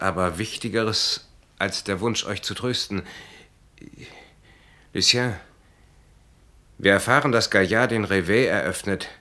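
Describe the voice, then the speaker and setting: A serious, concerned man in a German radio drama. His voice sits on the low side. He admonishes earnestly, as a loyal friend would. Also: bright, forceful tone. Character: earnest, concerned male friend giving stern warnings. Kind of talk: German radio play acting.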